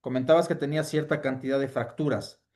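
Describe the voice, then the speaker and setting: Man, interviewer, mid-pitched voice, inquisitive, asks follow-up questions. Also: steady tone, fast, darkish tone.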